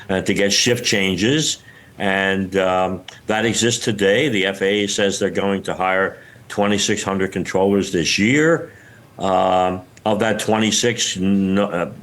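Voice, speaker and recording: An older man being interviewed as an expert, explaining things haltingly as he searches for words. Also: on the low side. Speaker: retired air traffic controller, interviewed expert. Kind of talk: news interview, unscripted explanation.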